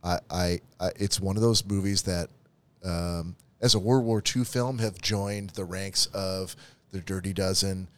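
A man with a very low voice talks casually about a movie, doubling back over his own words as he goes. He sounds close and dry, with no room around him. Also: fairly even intonation, a little slow, hardly any pauses, clear, resonant tone, no room sound. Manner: restarts and repeats words often. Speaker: podcast co-host.

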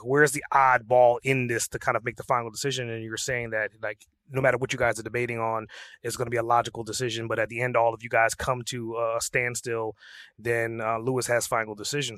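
A quick-talking man from Trinidad hosting a podcast. He asks curious, rambling questions and hedges with "like" and "kind of". The sound is close and dry. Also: medium pitch, fairly bright tone, dry recording. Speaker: podcast host. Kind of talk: interview questions.

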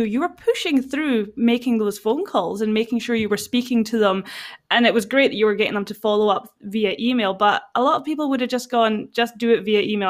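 A woman hosting an interview, replying in a quick, animated and responsive way. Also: medium pitch. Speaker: host. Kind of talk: conversational interview.

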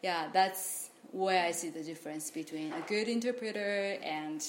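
A woman's voice: fairly low pitch, moderate pace. A woman whose first language is Japanese, an interpreter and teacher, chatting casually in an interview with many "like" fillers and frequent laughs. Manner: relaxed, fillers like "like", laughs often. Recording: echoey room with faint noise.

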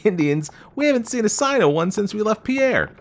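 Casting: brash young storybook gentleman played by a male reader, boyishly eager, teasing, pitched up over faint noise. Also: sing-song, a little fast. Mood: contemptuous. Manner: direct, teasing, scornful of caution. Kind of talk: dramatised storybook reading.

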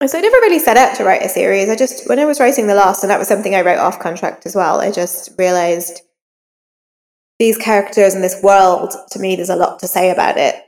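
A woman's voice: medium pitch, fairly quick, darkish tone. A woman novelist being interviewed, explaining her craft in an informal, conversational way.

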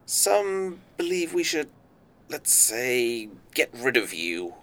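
An actor playing a polite, guarded spy boss with veiled menace in an audio drama, speaking formally and with measured courtesy. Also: male voice, medium pitch, very animated, a bit bright. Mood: smooth, guarded.

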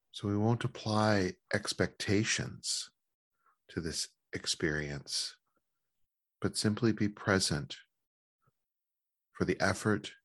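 A man leading a guided meditation in a calm, gentle, measured manner with long pauses. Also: low-pitched, breathy edge, no room sound.

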